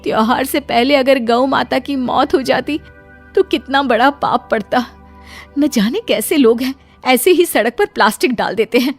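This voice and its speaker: A woman voicing an expressive, tender-hearted, easily flustered young daughter-in-law in a cartoon moral story. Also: high-pitched, normal speed, soft background music, pitched above the performer's own voice. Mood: relieved.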